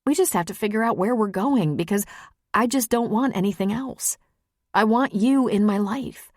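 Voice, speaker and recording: A female narrator plays an earnest woman lawyer in love, her voice lifted higher and openly emotional. It is a candid audiobook character in a dry recording. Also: moderate pace, a little mellow, no echo, lightly acted. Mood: urgent, pleading.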